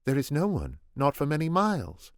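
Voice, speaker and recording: A man's voice: on the high side, wildly swooping pitch, normal speed, no echo, clean studio sound, voice pushed up. A man voicing a proud young female captive in a curt, composed manner. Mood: cool.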